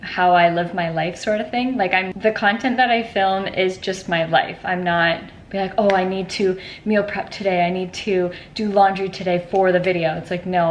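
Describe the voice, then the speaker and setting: A woman's voice: medium pitch, slight background noise. A young woman chatting casually to the camera at home, upbeat and rambling, sounding congested and a little nasal from allergies. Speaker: lifestyle vlogger.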